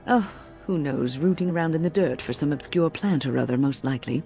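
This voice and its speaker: Wise elder female mage for fantasy game: low, mellow, formal and cautious, music underneath. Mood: friendly, businesslike. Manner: formal, measured, kindly diction. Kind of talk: fantasy game dialogue acting.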